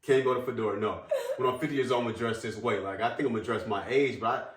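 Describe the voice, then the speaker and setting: A man co-hosting a podcast in relaxed casual chat, often laughing along with his co-host. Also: medium pitch, fast. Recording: echoey room, voice a bit far from the mic, faint background noise.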